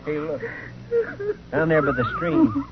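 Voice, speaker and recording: A man's voice: fairly high pitch, very animated, unhurried. A man acting an earnest, homespun deputy sidekick with a Western American accent in a radio western. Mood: puzzled, watchful. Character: excitable rustic deputy sidekick to the marshal. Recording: muffled, hissy old radio recording.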